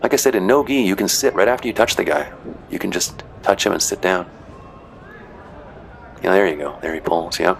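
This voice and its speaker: A man's voice: low voice, fairly quick, creaky, long pauses, a little hiss or hum. A man casually narrating footage of matches he refereed, chatty and rambling with dry joking asides. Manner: rambling, chatty, frequent "you know" and "uh". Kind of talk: casual commentary.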